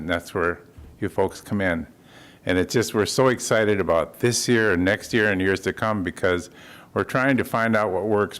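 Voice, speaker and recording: A man's voice: lowish voice, fairly bright tone. A man speaking before a city commission in a folksy, joking way, urging a yes vote. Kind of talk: public comment at a council meeting. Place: council chamber.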